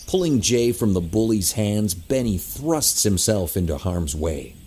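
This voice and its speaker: A man's voice: lowish voice, lively intonation, unhurried, mellow, slight background noise. A man reading scripted scene narration for an audio drama.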